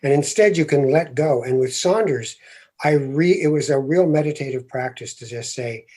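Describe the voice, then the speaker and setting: Husky, thoughtful male podcast host. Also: medium pitch, normal speed. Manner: thoughtful, animated when making a point, laughs easily. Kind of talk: reflective conversational interview.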